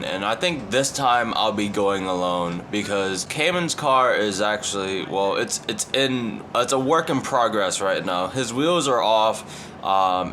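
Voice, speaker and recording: A man's voice: lowish voice, a bit bright. Stuffy-nosed young man vlogging. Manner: rambling, many likes and you-knows. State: stuffy, nasal from a cold. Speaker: car vlogger. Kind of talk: casual vlog talk to camera. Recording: noisy background.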